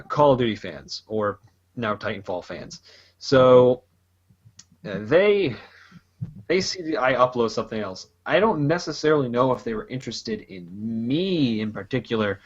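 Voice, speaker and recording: A man's voice: mid-range voice, animated, moderate pace, husky, lo-fi sound. A man casually chatting as a podcast host, rambling loosely and laughing often. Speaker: podcast host and gaming YouTuber. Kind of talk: casual chat.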